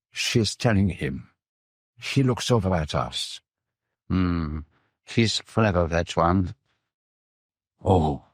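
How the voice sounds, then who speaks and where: An actor playing a courteous, shrewd Belgian detective with a French-speaking accent and precise, theatrical phrasing in a radio drama. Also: male voice, low voice, slightly rough, no echo, clean studio sound, full character voice. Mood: shrewd, teasing. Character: dapper Belgian detective, courteous, shrewd, self-assured middle-aged man.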